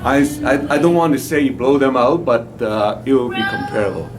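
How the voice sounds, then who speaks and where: Halting male exhibitor, music in background. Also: mid-range voice. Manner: hesitant, halting delivery with frequent fillers. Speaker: exhibitor presenting his company's products. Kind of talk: informal product pitch in an interview. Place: demo room at an audio show. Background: music playing.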